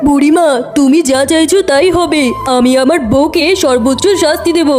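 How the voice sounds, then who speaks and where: A woman's voice: high-pitched, a little fast, darkish tone, faint music behind, lightly acted. A woman playing an earnest cartoon fox husband, reasoning and pleading in a conciliatory way. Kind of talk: dramatic cartoon voice acting.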